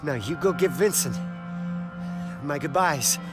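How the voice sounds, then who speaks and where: A man's voice: fairly high pitch, expressive, a little slow, over loud music. A man playing an earnest, weary fighter in a video game, conversational and a little wry. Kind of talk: dramatic video game cutscene acting.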